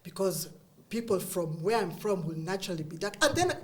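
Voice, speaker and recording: A woman's voice: fairly low pitch, animated, a little fast. A middle-aged Kenyan woman with a Kikuyu first language, talking candidly as a guest, laughing often and restarting her sentences. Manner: earnest, frequent laughs and restarts. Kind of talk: candid conversational chat.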